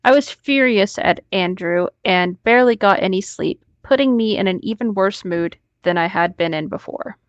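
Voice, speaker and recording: Casting: female co-host, medium pitch, dry close recording, casual story reading and banter. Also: moderate pace, few pauses, dry recording, dull audio. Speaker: co-host reading online stories aloud.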